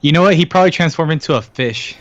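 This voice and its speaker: Guest co-commentator: male, slightly lo-fi call sound, relaxed jokey banter over faint music. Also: a little high, fast. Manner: joking, giggly. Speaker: guest co-commentator on game stream. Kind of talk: casual chat. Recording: muffled voice-chat audio, music behind. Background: game music.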